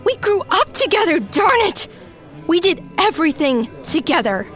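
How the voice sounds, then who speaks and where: A high-voiced young sister, played by a woman in a radio drama, pleading one moment and cold and cutting the next. Soft music runs under her lines. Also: sing-song, moderate pace, heavily acted. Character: Otto's bitter, desperate sister, young adult. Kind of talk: audio drama.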